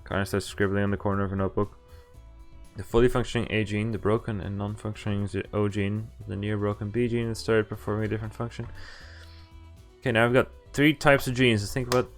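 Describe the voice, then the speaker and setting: A man's voice: fairly low pitch, little pitch movement, fast talker. A man streaming a visual novel, reading it aloud with casual, amused asides. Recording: background game music behind voice. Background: game music.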